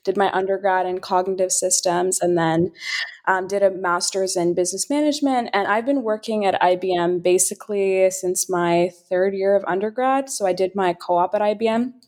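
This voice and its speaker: A woman's voice: medium pitch, steady tone, normal speed, darkish tone. A woman in her twenties introducing herself in a chatty, self-deprecating way with many fillers. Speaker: team member introducing herself. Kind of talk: casual chat.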